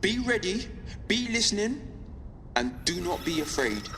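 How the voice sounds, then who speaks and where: A young male rebel speaks out over illicit radio in a high, forceful voice. His audio drama monologue is measured and impassioned, ringing with long reverb over faint noise. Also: very animated, exaggerated voice. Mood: defiant, solemn. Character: defiant young rebel pirate radio broadcaster. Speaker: underground radio broadcaster.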